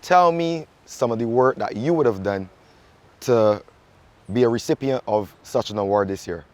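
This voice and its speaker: A man hosting an interview, asking questions in a relaxed way and giving short agreeing replies. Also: medium pitch, moderate pace. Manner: relaxed, with frequent short affirmations.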